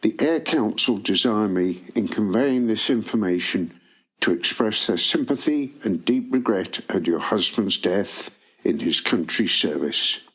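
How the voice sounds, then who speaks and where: Slow, low-voiced man reading formally. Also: animated, a bit bright, breathy edge, low-quality recording. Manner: measured, formal. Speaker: reader of historical documents. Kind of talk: formal reading of official letters.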